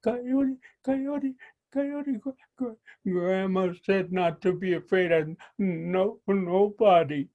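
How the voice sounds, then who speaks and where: A man's voice: high voice, narrow, tinny audio, voice pushed up. A man voicing a timid little female turtle who stammers nervously over her words in a storytelling performance. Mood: stammering, nervous. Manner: stuttering, halting. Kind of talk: oral storytelling.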